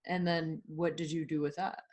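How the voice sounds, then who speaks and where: A woman's voice: low voice, flat delivery, moderate pace, darkish tone. A woman hosting a casual interview, asking questions with upbeat, encouraging replies. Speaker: interviewer, host.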